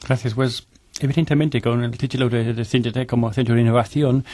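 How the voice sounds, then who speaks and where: A man's voice: medium pitch, fairly even intonation, fairly quick, mellow, dry recording. A man who directs a technology innovation centre, explaining at a round-table discussion around a studio table, often restarting his words. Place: studio table with other guests.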